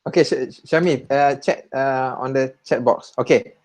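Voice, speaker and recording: Malaysian guy lecturer on a video call, stumbles and repeats himself, normal pitch. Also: fairly even intonation, a little mellow. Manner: halting, repeats words, mixes in Malay. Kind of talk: online class chat.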